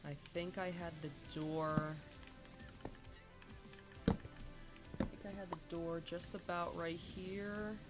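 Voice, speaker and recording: A live streamer chatting casually while playing a building game, sounding congested, hesitant with many ums and restarts, and clearing the throat often. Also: mid-range voice, fairly even intonation, slow pace, pauses often. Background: game music.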